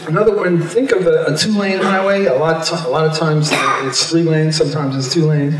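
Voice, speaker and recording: Older man, slightly high voice, wry chatty speaker, handheld mic, echoey hall heard from a distance. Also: steady tone, moderate pace. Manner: chatty and wry, self-amused asides. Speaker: economist speaking at a convention. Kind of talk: informal convention talk. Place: large hotel ballroom stage. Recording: echoey ballroom from the camera.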